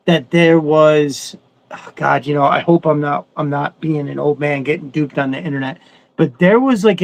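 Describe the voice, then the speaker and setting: Excitable high-voiced man with creaky edge. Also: poor audio. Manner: excitable, rambling, profane. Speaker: podcast co-host. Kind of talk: casual fan chat.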